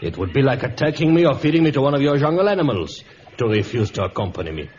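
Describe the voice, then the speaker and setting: Male actor as coolly threatening colonial officer; animated pitch, formal insinuating speech, muffled old radio sound. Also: slight background noise, close to an everyday voice. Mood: smug, threatening. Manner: formal, insinuating, deliberate phrasing. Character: scheming, smug colonial lieutenant, coolly threatening. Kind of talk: vintage radio drama acting.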